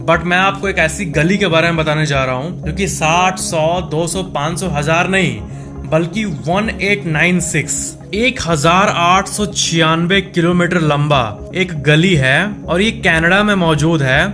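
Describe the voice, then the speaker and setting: An Indian man with a high voice narrates an explainer over a music bed. He talks conversationally, setting up questions and answering them himself, and slips English phrases into his speech. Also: unhurried. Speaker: presenter of a facts video. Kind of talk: explanatory narration in countdown format. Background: background music.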